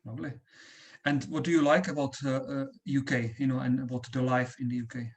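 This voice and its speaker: Male interviewer, mid pitch, polite, halting with fillers, video call audio. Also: little pitch movement.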